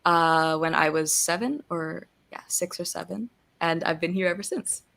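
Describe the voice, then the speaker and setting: A woman's voice: lowish voice, normal speed, gravelly, a bit bright. A young woman guest chatting casually on a video call, giggly and self-deprecating, with many fillers. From born in Singapore, raised in Barbados and the Philippines. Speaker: guest, actor and singer. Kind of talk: casual interview chat.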